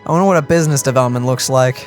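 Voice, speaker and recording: A man's voice: medium pitch, rapid-fire, soft background music. A man doing casual let's-play reaction commentary, with a wry, teasing manner. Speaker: gaming channel streamer.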